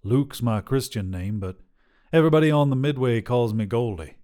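A man's voice: mid-range voice, animated, normal speed, mellow, close to an everyday voice. A man voicing a young drifter, dazed and haunted, who speaks in a hesitant, stammering way.

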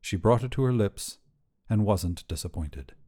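A man reading short fiction aloud as a narrator, in an expressive scripted storytelling style. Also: fairly low pitch, normal speed, husky.

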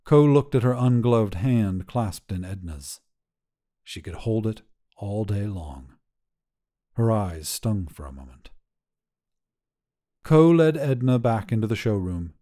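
Mellow man narrating short fiction. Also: fairly low pitch, expressive, takes it slowly, pauses often. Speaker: story narrator.

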